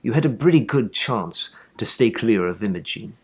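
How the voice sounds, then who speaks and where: Animated man reading a children's story. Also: lowish voice, normal speed, breathy edge. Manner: animated, theatrical emphasis. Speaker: storybook reader.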